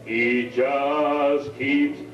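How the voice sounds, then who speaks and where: Man singing slowly in a roomy hall. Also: mid-range voice, steady tone, fairly bright tone, faint music behind. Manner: slow, drawn-out singing. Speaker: singer at a family reunion. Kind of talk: singing a show tune at a gathering. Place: reunion hall. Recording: dull lo-fi home video, roomy hall, mic far off.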